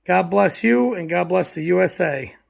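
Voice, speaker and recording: A man, hoarse from a cold, hosting a casual product review vlog in a relaxed, folksy way full of fillers. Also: high-pitched, normal speed. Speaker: channel host.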